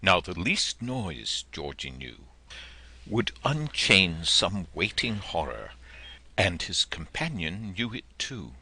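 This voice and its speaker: A man reading a literary story as an audiobook narrator, in a measured, expressive, dramatic manner. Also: deep voice, slightly rough.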